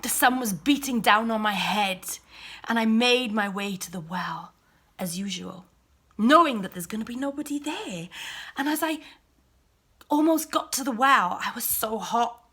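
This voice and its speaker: A weary outcast Samaritan woman, performed by a woman in a first-person stage monologue. Her voice is high, bright and breathy. She confides in the listener and drops to hushed whispers. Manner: confiding, shifts between loud cries and hushed whispers.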